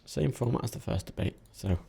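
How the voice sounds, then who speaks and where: A man's voice: medium pitch, brisk, gravelly, crowd noise. A man co-hosting a podcast, rambling and giggling through casual chat. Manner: rambling, giggly, lots of restarts. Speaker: podcast co-host.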